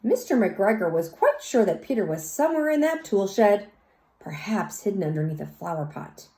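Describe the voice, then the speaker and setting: A woman reads a children's story aloud in an expressive, teacherly way, speaking as if to young listeners. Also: mid-range voice. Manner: teacherly, pauses to question and comment. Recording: roomy, echoey sound.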